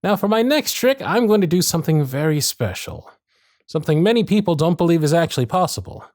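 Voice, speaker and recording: Guy doing a showy stage magician, pitched up and swooping all over, big dramatic patter. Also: very animated, darkish tone, no echo, studio quality. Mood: showy, theatrical. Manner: grand projected patter, drawn-out dramatic phrasing. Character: showy stage magician, warm and theatrical showman. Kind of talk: ASMR roleplay stage performance.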